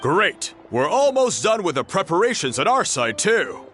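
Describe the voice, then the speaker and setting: A man's voice: high-pitched, very animated, moderate pace, fairly bright tone, faint music behind. A man voicing a loyal, spirited tribesman in a video game. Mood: eager.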